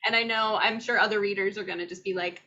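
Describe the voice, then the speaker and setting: A woman's voice: medium pitch, steady tone, quick pace, bright, forceful tone, dull audio. A woman hosting a book club, chatting casually with gushing enthusiasm. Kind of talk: casual chat, interviewing.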